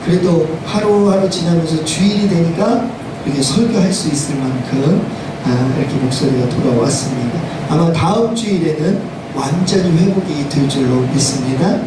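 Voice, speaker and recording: A hoarse male pastor with a cold, preaching a Sunday sermon emphatically and putting rhetorical questions to the congregation. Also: normal speed. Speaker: senior pastor. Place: church sanctuary, preaching to congregation. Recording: echoey, distant, noisy room with rumble.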